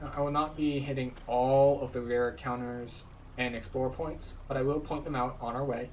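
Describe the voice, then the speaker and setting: A man gives relaxed, tour-guide style commentary over his gameplay. His voice sits a bit away from the microphone, and steady noise runs under it. He explains as he goes, calm and practical. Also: mid-range voice, moderate pace, far from the mic, low-quality recording. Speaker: game walkthrough host.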